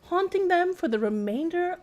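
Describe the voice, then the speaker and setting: A woman streaming a video game, commenting and reading in-game text aloud in a wry, chatty, expressive way, with game music behind her. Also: very high voice, normal speed.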